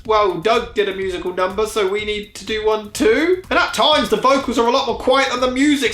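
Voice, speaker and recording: A man's voice: very high voice, bright, forceful tone, roomy sound. A man delivering a scathing comedic review, sounding sarcastic, exasperated and foul-mouthed. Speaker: host, reviewer.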